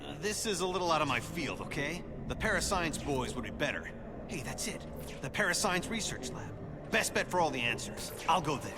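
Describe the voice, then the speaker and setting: Urgent high-pitched superhero. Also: male voice, very animated, brisk, noisy background. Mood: tense, insistent. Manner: urgent, commanding, exasperated. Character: serious, bossy young male futuristic superhero. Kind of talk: video game voice acting. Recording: loud music underneath.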